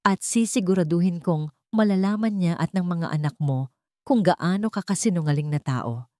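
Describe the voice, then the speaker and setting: A woman's voice: fairly low pitch, normal speed, mellow, no room sound, clean studio sound, natural voice. A woman voicing a cold, threatening scorned fiancée in a story, commanding and taunting.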